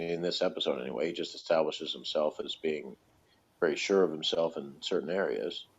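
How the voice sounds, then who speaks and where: Low-pitched man, hesitant, dull recording. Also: a little mellow. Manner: hesitant, hedging, thinking aloud. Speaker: podcast reviewer of a TV show. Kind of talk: casual chat, rambling commentary.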